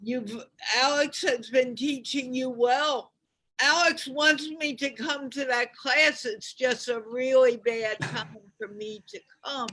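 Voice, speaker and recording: A woman hosting a show, asking encouraging questions in a deliberate way on a video call. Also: sits high, fairly even intonation, fairly bright tone, rough recording. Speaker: host.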